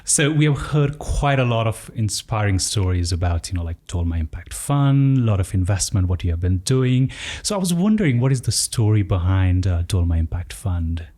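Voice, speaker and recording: Man, podcast host, low voice, warm mellow tone, casual friendly questions. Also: big pitch swings, normal speed, few pauses. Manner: friendly, conversational, uses "you know, like" often. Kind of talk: podcast interview.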